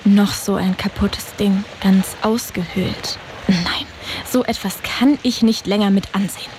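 A woman's voice: mid-range voice, fairly even intonation, unhurried, a little mellow, slight background noise, lightly acted, poor audio. An actress playing a mysterious, ageless woman who runs an eerie hotel, with a teasing, drily witty and welcoming manner. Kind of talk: audio drama acting. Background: music.

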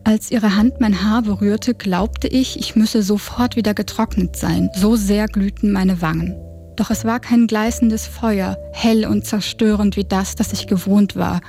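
A woman narrating an audio drama in the first person, with a soft, lyrical and introspective delivery. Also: mid-range voice, steady tone, measured pace. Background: music.

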